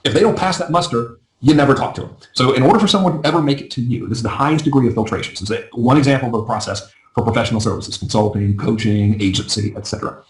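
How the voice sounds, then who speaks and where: A man coaching a live webinar in a chatty, self-deprecating way, his voice going a little hoarse. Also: mid-range voice, reverberant, rough recording. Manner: fast, chatty, self-deprecating, often asks "right?". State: losing his voice. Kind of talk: casual live webinar teaching.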